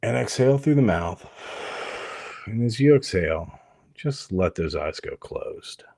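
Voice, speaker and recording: A man with a low voice leads a guided meditation in a gentle, permissive manner. His tone is husky and breathy, and he leaves pauses between short, soothing phrases. The sound is dry and close, with almost no room to it. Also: lively intonation, dry recording. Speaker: hypnotist leading a guided meditation.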